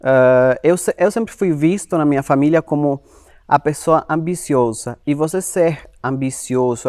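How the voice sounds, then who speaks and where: A young man from Uruguay, whose first language is Spanish, telling his life story as an interview guest, speaking Portuguese with a Uruguayan Spanish accent in an animated, expressive way. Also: medium pitch, no echo, studio quality. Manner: animated, expressive, quotes himself in lively voices.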